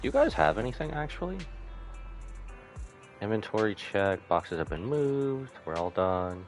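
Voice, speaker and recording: A man's voice: fairly low pitch, big pitch swings, a little slow, soft background music. A man streaming a game live, casually commenting, reading dialogue aloud and muttering asides to himself.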